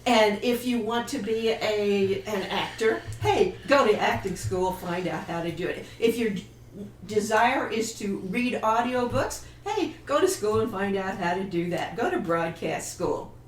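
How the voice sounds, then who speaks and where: A woman's voice: medium pitch, lively intonation, measured pace. Blunt woman panelist, distant and echoey. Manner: blunt, contrarian, emphatic rhetorical questioning. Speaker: writer and panelist. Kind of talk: opinionated panel discussion. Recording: echoey, distant mic, faint noise.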